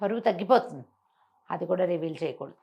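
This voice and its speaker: A woman's voice: fairly low pitch, animated, fast, vocal fry, slightly rough, highs cut off. A woman giving a conversational moral talk, teaching emphatically and often posing rhetorical questions. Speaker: storyteller explaining moral teachings.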